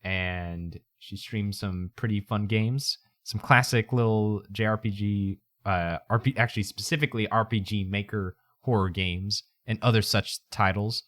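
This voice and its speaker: A man's voice: on the low side, steady tone, unhurried, few pauses, a bit bright, dry recording, studio quality. A man chatting casually on a livestream in a put-on accent, with a folksy, playful manner. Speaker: streamer, VTuber.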